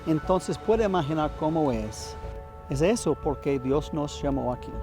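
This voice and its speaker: A man with an American English accent, a missionary giving testimony in halting, non-native Spanish. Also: a little high, animated, over music. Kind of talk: interview testimony.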